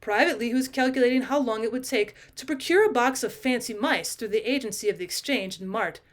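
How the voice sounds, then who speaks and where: A woman's voice: fairly high pitch, fast talker. A woman narrating a classic short story as an audiobook, reading expressively like a teacher to her class. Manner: expressive, acts out dialogue.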